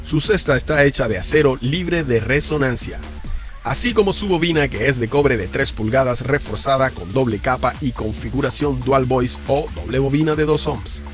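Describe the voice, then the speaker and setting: A man's voice: mid-range voice, normal speed. A man reading a scripted rundown of subwoofer specifications and prices for a car audio channel. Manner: reads spec lists and prices in an even explaining tone. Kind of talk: scripted product narration. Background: background music.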